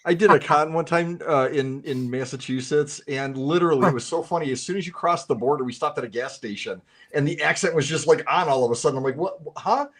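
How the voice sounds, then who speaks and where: A man's voice: fairly high pitch, quick pace, hardly any pauses, fairly bright tone. A man appearing as a guest in a casual live-stream chat, talking in an easygoing, self-deprecating way.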